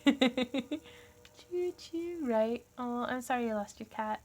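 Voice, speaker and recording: A woman's voice: on the high side, lively intonation, extremely slow. A woman hosting a casual craft livestream, chatty and laughing often.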